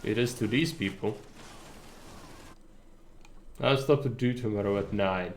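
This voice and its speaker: A man streaming a game live, chatting in a calm, relaxed way with his viewers. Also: on the low side, a little slow, a little hiss or hum. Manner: relaxed, chatty, addresses chat. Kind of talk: casual live gameplay commentary.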